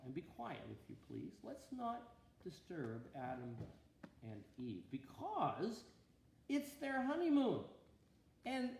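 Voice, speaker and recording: A man reads the part of a kindly storybook God, giving courteous, unhurried instructions. The voice is creaky and swoops widely in pitch, in a room with noticeable echo. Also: exaggerated voice. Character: God as a kindly, courteous father figure addressing angels. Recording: roomy echo, faint background noise.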